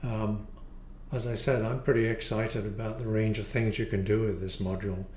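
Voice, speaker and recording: A man's voice: on the low side, flat delivery, moderate pace, a little mellow. Older man explaining, in an echoey room. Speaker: module designer presenting a demo. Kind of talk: explanatory product demo, semi-improvised. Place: small home studio room, indoors. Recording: roomy, echoey home room.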